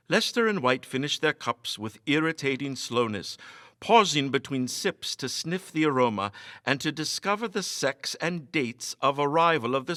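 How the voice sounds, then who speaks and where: A man giving a theatrical, expressive dramatic reading of a classic ghost story. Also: mid-range voice, dry recording, clean studio sound. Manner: theatrical, expressive delivery with emphatic dialogue. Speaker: story reader.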